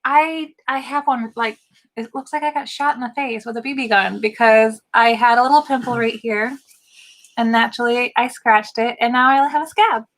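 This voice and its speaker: A woman's voice: a little high, fairly even intonation, moderate pace, a bit bright. A young woman from St. Louis, co-hosting a live watch party and chatting candidly in a rambling, self-deprecating way. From St. Louis, Missouri. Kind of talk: casual livestream chat.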